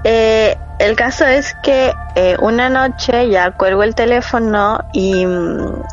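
A woman in her thirties from Ecuador tells a personal story as a podcast guest, pausing often and filling gaps with hesitant sounds. Her mid-pitched voice comes through slightly dull and lo-fi, with faint music behind. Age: 30s. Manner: hesitant, with many fillers. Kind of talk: casual remote interview.

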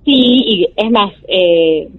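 A woman's voice: on the low side, slow. Hesitant Argentine woman on a phone line. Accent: Argentine Spanish. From Jujuy, Argentina. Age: 40s. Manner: hesitant, with frequent fillers. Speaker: caller telling a true story.

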